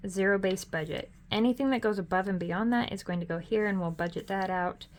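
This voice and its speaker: Middle-aged woman, host of a budgeting vlog, chatty and upbeat, a touch hoarse, mid pitch. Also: slight background noise. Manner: chatty and upbeat, with frequent ums and self-corrections. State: hoarse, losing her voice. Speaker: budgeting vlogger. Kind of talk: casual budget walkthrough.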